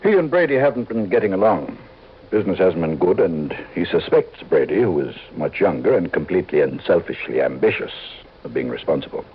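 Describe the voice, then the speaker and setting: A man's voice: sits low, very animated, brisk, slight background noise. A man acting a fussy, stingy, anxious insurance executive in a mid-century radio drama, prim and insistent. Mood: worried. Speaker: supporting actor in a radio drama. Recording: tinny, band-limited old radio recording.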